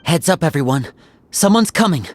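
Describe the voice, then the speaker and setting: Low-voiced, level-headed adventurer. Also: female voice, expressive, moderate pace, slight background noise. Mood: wary. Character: level-headed, cautious young woman adventurer. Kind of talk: anime game voice acting.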